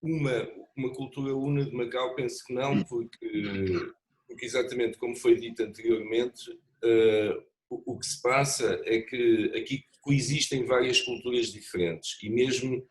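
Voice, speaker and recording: A middle-aged man talks through his ideas on a discussion panel in a measured, reflective way. He hesitates often, restarts his phrases, and speaks in an even, mid-pitched voice. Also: poor audio. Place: lives in Macau.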